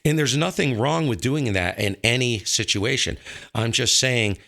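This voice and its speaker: A man's voice: mid-range voice, expressive, moderate pace, creaky, pushed and bright. An American man talking casually as a show host, giving his opinions emphatically and repeating points for stress. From northern United States. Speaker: host of a ham radio show. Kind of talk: casual opinionated conversation about radio operating.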